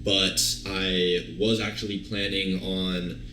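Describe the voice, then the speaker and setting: A young man in his early twenties with a very low voice that carries a sharp, forceful brightness. He tells his story loosely, full of fillers and restarts. The recording has a roomy echo around him. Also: takes it slowly, slight background noise. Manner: relaxed, rambling, many fillers and restarts. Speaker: guest, online fitness coach. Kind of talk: casual storytelling interview.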